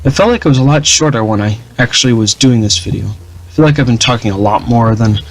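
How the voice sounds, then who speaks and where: A young man talks through his strategy campaign in an unhurried, meandering way. His voice is flat and a bit dull, with lots of ums, uhs and restarts. His pitch sits in an ordinary middle range for a man. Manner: rambling, many fillers and restarts. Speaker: gaming channel host. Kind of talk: casual gameplay commentary.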